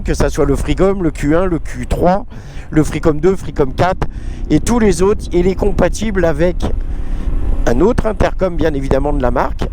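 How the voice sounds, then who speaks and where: Guy, pretty high voice, chatty explainer vlog while riding, noisy road and engine behind him. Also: darkish tone. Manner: explanatory, conversational. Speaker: vlogger reviewing motorbike intercoms. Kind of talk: casual chatty product review while riding. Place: outdoors, riding a motorbike. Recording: noisy outdoor ride, engine and wind.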